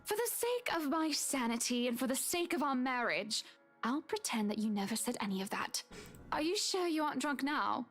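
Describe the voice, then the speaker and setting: A woman voicing a stern, composed young wife in a visual novel game, speaking in a measured, pointed way. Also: sits high, sing-song, faint music behind, voice pushed up, full character voice.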